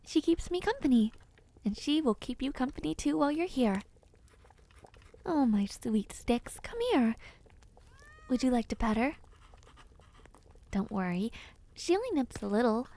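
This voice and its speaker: A woman playing a kind, dreamy witch in an audio roleplay, soothing and gently formal, easily sidetracked. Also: on the high side, animated, measured pace, pauses often. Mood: affectionate. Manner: gentle, soothing, old-fashioned formal phrasing, easily distracted. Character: kind, dreamy peculiar witch, otherworldly and caring. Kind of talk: audio roleplay addressed to the listener.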